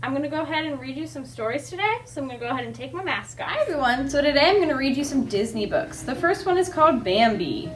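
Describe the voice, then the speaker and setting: A woman reading children's storybooks aloud as a library storyteller, with an expressive delivery. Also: sits high, fast, few pauses, slight background noise.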